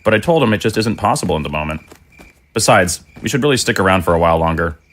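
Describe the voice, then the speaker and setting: A man acts as a devoted middle-aged undead dad in an audio drama. His voice is low, and he talks gently and reflectively, often halting as he searches for earnest words. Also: expressive. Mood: easygoing.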